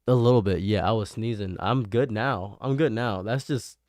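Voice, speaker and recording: A man's voice: on the low side, normal speed, darkish tone, no echo, clean studio sound. A young man with a nasal voice, rambling and self-mocking as he chats with his live stream viewers. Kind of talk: casual live stream chat.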